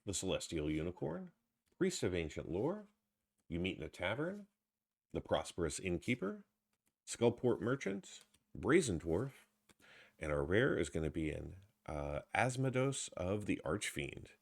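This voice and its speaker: A man with a stuffy head cold casually commenting as he opens trading card packs, reading out card names with low energy. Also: very animated, measured pace, vocal fry. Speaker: card box opener.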